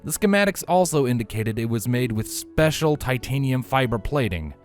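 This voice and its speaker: A man's voice: medium pitch, lively intonation, normal speed. A man reading a scripted story as an audiobook narrator. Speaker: audiobook narrator of fan fiction. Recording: music bed under the voice.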